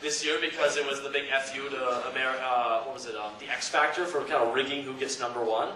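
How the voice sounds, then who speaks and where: A man's voice: mid-range voice, fast talker. A man on a convention panel telling stories in a casual, joking, rambling way. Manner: animated, joking, rambling storytelling. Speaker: panelist and abridged-series voice actor. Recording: echoey hall, distant mic, noisy crowd. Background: audience noise.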